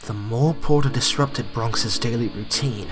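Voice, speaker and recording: A man's voice: medium pitch, unhurried. A man reading scripted storybook narration as the narrator of an audio drama. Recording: loud music under the voice. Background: loud music.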